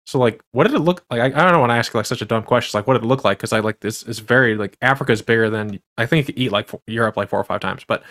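A man hosts a podcast in a quick, casual flow. He rambles through his questions, restarting and stacking fillers as he goes. His voice sits at an ordinary male pitch. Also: a bit bright. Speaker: podcast host. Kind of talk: casual interview chat.

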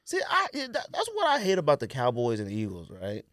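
Male podcast co-host, informal and slangy sports talk, very expressive intonation, dry close sound. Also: mid-range voice, fast, no room sound. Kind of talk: casual sports chat.

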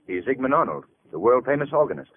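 Brisk, urbane radio-drama gentleman sleuth. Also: mid-range voice, lively intonation, husky. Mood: composed. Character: urbane, brisk, self-assured amateur detective gentleman. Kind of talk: 1940s radio drama acting. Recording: tinny, hissy old radio recording.

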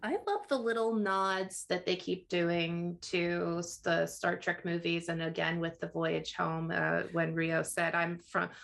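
A woman's voice: fairly low pitch, flat delivery, unhurried, creaky, hardly any pauses, a bit bright. A woman co-hosting a casual podcast chat, talking in a chatty, self-correcting way and laughing often. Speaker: podcast co-host.

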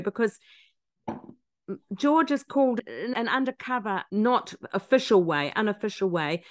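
Woman host asking interview questions. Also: mid-range voice. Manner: conversational, setting up questions at length. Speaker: podcast host.

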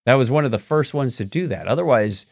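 A man's voice: mid-range voice, fast talker, vocal fry, no room sound, clean studio sound. A man co-hosting a casual tech podcast, rambling and correcting himself as he talks. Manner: rambling, self-correcting, lively. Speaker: podcast co-host.

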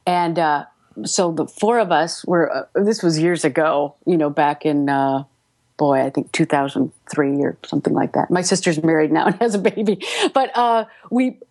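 Chatty woman with a lowish voice. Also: moderate pace, a little mellow, no room sound. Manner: chatty, rambling with fillers and restarts. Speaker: audiobook narrator and guest. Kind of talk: casual interview storytelling.

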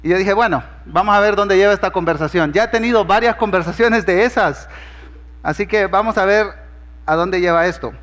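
A pastor with a Honduran Spanish accent and a notably high voice for a man. He preaches in an easygoing, conversational way, telling humorous stories and acting out the dialogue. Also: brisk, a bit bright, long reverb tail. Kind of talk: sermon with storytelling.

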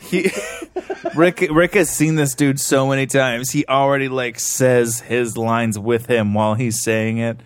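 A man's voice: medium pitch, steady tone, unhurried. Rambling, swearing man on a podcast. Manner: rambling, swears freely, restarts often. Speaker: podcast co-host. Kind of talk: casual movie review chat.

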